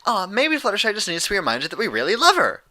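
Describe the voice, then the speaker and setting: An excitable girl pony from a storybook, acted by a man, chattering in a high, swooping voice. She gushes breathlessly, with a very bright, pushed tone. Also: quick pace, exaggerated voice. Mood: chirpy. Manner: gushing, breathless rush of words.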